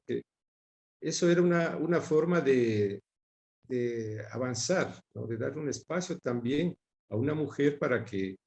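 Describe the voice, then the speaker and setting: A man in his sixties with an Ecuadorian accent from Quito, speaking in a soft, mellow tone at an average pitch. He answers in a reflective, conversational interview style, measured, often pausing and repeating a word as he looks for the next one. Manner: measured, pausing and repeating words while searching for them. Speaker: interview guest recalling his father's career.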